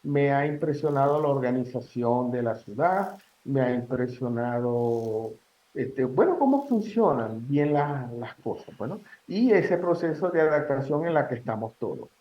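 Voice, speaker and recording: A Venezuelan man in his sixties, a guest giving measured advice in a casual interview, with frequent "este" fillers. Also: medium pitch, expressive, echoey room, lo-fi sound. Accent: Venezuelan Spanish. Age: 60s.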